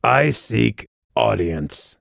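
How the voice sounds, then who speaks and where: A man voicing a terse, unflappable male guide in a fantasy audiobook, speaking in a low-key, deliberate way. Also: animated, vocal fry, a bit bright, no echo, clean studio sound, exaggerated voice. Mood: formal, commanding.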